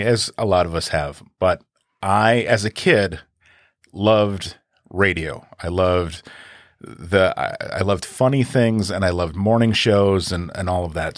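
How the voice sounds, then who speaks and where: A middle-aged man from Wisconsin, telling his story in a relaxed interview with many fillers and restarts. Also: no echo, studio quality. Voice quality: deep voice. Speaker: podcast guest. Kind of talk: casual conversational interview.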